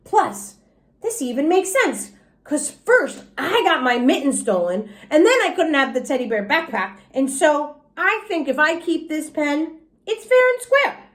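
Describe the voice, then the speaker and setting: A woman reading a children's storybook as an excitable, stubborn little girl, with an emphatic, childlike way of talking. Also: sits high, unhurried, pitched above the performer's own voice. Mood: self-justifying, pleased. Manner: childlike grammar, emphatic, self-justifying. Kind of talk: children's storybook read aloud.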